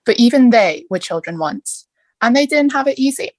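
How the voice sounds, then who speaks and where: A woman playing a male Latin teacher in a table read, lecturing on myths in a measured, theatrical way with rhetorical questions. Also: a little high, lively intonation, no echo. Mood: dramatic lecturing.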